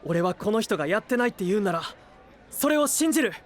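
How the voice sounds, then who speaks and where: A man's voice: sits high, sing-song, fast, some chatter behind. A male actor playing an earnest, hot-blooded young knight in an anime audio drama, speaking with sincere, idealistic conviction. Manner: impassioned, sincere pleading.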